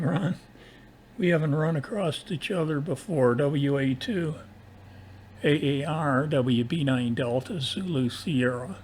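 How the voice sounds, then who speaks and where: Slow-talking older man on ham radio. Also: on the high side, a little mellow. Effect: AM shortwave radio. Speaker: amateur radio operator. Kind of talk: casual ham radio contact. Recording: AM ham radio audio, faint hiss.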